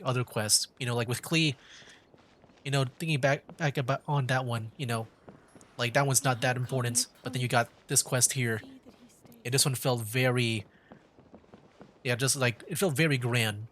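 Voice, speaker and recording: A man streaming a video game, chatting casually about it in a rambling, halting way and often repeating himself. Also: medium pitch, fairly bright tone, slight background noise. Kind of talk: casual chat and game commentary. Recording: faint game music behind. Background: video game music.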